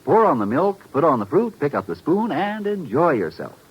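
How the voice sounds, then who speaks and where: A man's voice: on the high side, sing-song, normal speed, slight background noise. An American man delivering a 1950s radio commercial with folksy, exuberant sales patter. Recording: dull, band-limited 1950s radio recording.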